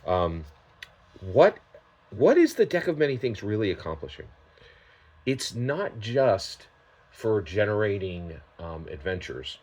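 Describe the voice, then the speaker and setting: Male gaming vlogger, low-pitched and rough-edged. Off-the-cuff opinions, halting but emphatic, frequent check-in tags. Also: very animated, darkish tone. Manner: emphatic, halting delivery with repeated tag questions. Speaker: tabletop gaming vlogger giving his opinions. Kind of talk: unscripted, opinionated product commentary.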